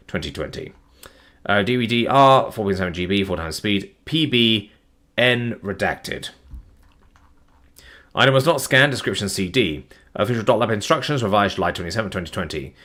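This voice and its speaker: A tired man, reading long file listings aloud on a live stream in a flat, plodding way, his voice starting to give out. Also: fairly low pitch, a little fast. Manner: flat, plodding reading of numbers with weary asides. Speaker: document narrator.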